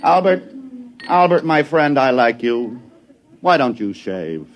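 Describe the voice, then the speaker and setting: A boozy artist in an old radio play. His voice roams widely in pitch, grumbly yet chummy, repeating himself in a confidential way. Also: very animated, takes it slowly. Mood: boozy, confiding. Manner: tipsy, repetitive, confidential. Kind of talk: 1950s radio drama acting.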